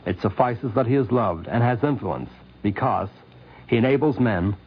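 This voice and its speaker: A man reading scripted 1950s radio narration in a solemn, measured, oratorical way. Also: mid-range voice, expressive, slightly rough. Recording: thin, phone-like 1950s radio recording.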